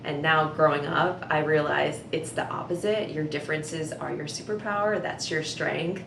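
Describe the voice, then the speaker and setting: A young woman with a notably low voice shares personal stories in an earnest, reflective tone. Her words are loosened by frequent "like" and "um." The sound is roomy and echoey, with a low rumble running behind her. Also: normal speed, creaky. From United States. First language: Vietnamese. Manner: earnest and reflective, many "like" and "um" fillers. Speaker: communications director. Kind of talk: personal storytelling to camera.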